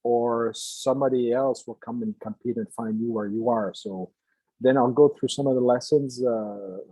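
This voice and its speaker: Older quebec guy, french first language, lecturing, lots of um and restarts, soft husky tone. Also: mid-range voice, fairly even intonation, dry recording, poor audio. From Quebec, Canada. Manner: halting, with many restarts and fillers. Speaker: guest lecturer. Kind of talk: lecture to a class.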